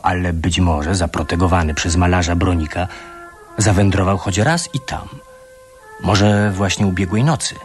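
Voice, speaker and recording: A man reading scripted narration as the narrator of a radio drama. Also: deep voice. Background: music.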